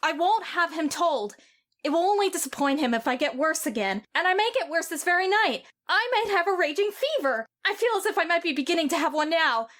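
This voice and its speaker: A woman's voice: very animated, a little fast, a bit bright, heavily acted. A woman playing a petulant sickly boy. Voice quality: high, petulant, insistent. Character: sickly, imperious boy invalid, spoiled and fretful. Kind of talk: amateur audio drama.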